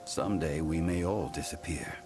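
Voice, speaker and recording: An actor voicing an ancient god in human form, a calm and dignified scholarly man who speaks formally and without hurry, with game music underneath. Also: extremely low pitch. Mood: solemn. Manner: measured, formal and unhurried. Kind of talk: game cutscene voice acting.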